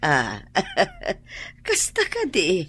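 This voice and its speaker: An actress with a Filipino accent playing a measured, authoritative older landlady in a radio drama, with a husky voice. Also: on the low side, huge pitch swings, fairly bright tone, slight background noise, exaggerated voice. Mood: measured, serious.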